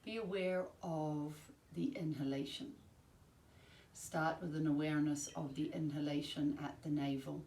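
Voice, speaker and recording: A woman teaching yoga nidra, giving calm, soothing, measured guided-relaxation instructions with long pauses. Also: low voice. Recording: roomy, distant mic, faint background noise.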